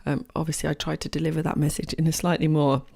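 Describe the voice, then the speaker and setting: A woman co-hosting a podcast, her voice slightly croaky, chatting upbeat and laughing often as she tells stories. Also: low voice, little pitch movement, fast talker, darkish tone, no echo, clean studio sound. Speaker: podcast co-host. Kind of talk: casual chat.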